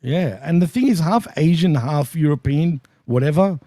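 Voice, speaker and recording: Aussie bloke co-hosting, kinda high mellow voice, chill, laughs a lot, dry sound. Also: male voice, moderate pace, no room sound. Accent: Australian. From Australia. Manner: laid-back, laughs often, calls others bro. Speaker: co-host. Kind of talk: casual wrestling review chat.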